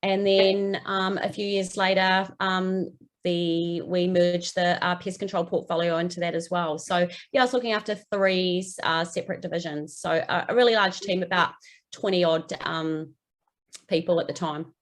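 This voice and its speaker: A woman's voice: fairly low pitch, steady tone, moderate pace. New Zealand woman, 40s, manager guest, friendly and conversational. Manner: friendly, many ums and uhs. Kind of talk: casual video interview.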